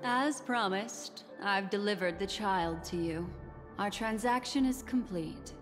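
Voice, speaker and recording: A female actor voicing a poised, cool, enigmatic woman in a video game, speaking in a measured, formal way. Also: medium pitch, long reverb tail, over music, lightly acted. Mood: cool, composed.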